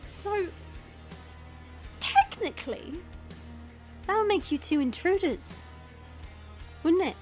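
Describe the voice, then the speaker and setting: Woman playing a very high, brash demonic girl. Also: lively intonation, takes it slowly, pauses often, darkish tone, soft background music, exaggerated voice. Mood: mock annoyance. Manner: sarcastic, theatrical, exclaiming and drawling. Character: brash, mocking young demonic woman, playful then dangerous.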